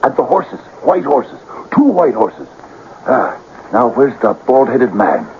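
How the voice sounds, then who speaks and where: An actor in a 1970s radio drama playing an excitable, cajoling sidekick who believes in fate, with a theatrical delivery. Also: male voice, medium pitch, slow, heavily acted. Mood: excited, hushed. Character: excitable, coaxing, fate-believing male sidekick, a wily talker. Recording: dull, noisy old radio broadcast recording.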